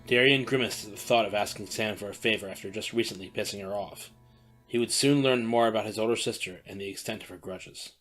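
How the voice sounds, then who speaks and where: A man narrating an audio drama in an even storytelling way. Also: fairly low pitch, fast, poor audio. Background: faint background music.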